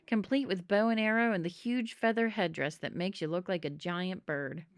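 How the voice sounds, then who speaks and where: A woman voicing an anxious, eager young boy in an expressive children's storybook reading. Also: fairly low pitch, moderate pace, dry recording, natural voice. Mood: hopeful. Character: Alvin, anxious, eager young boy narrating his misadventures. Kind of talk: children's storybook read-aloud.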